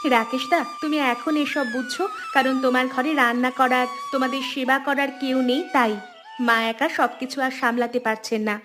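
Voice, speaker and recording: A woman acts out a young village wife in a cartoon family drama. The voice is high, earnest and plaintive, that of someone wronged who now stands independent. Music plays clearly behind the speech. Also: steady tone, moderate pace, natural voice. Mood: reproachful, firm. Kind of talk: Bengali cartoon story dialogue.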